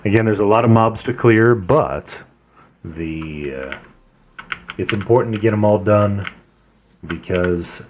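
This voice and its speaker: A man with a deep voice, leading a game raid over voice chat in a calm, instructive way. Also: takes it slowly, long pauses, a little hiss or hum. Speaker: raid leader. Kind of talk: live-streamed game voice chat.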